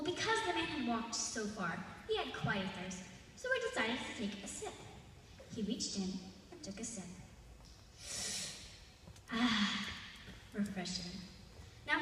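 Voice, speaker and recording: A young girl of about eleven tells a folk tale to a live audience in a high, theatrical voice. Her pitch moves freely and she leans on dramatic pauses. The sound is distant and washed in the long reverb of a large arena, with faint noise. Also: a bit bright. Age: child, 11. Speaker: storyteller. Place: large indoor arena, live festival stage.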